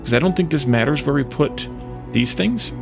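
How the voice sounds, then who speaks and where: A man's voice: a little high, normal speed, creaky, music in the background. A man giving casual let's play commentary, thinking aloud in a hesitant, self-questioning way.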